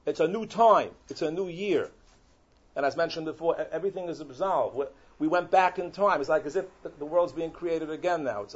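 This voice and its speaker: A man delivers an animated Torah sermon as a rabbi, peppering his English with Hebrew and Yiddish terms. His voice sits a bit high with a husky edge. The recording is dull and lo-fi.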